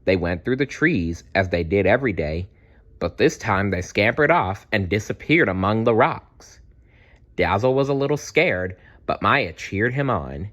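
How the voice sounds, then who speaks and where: A man reading a children's storybook aloud in an expressive, unhurried way, adding small asides. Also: on the low side. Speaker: children's book read-aloud narrator.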